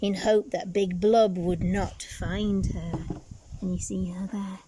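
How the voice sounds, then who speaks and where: Woman doing storytime for little kids, takes it slow, dramatic pauses, chats to the kids, bit of background noise. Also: medium pitch, steady tone. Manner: dramatic pauses and asides to child listeners. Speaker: teacher reading a story to young children. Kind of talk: expressive picture-book read-aloud for young children.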